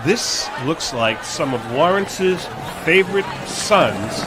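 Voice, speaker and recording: Male parade commentator, jokey and mock-grand, very lively intonation, clear outdoor background noise. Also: on the high side, takes it slowly, a crowd in the background. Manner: playful mock-grand announcing, teasing. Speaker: parade broadcast announcer. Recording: noisy outdoor street ambience.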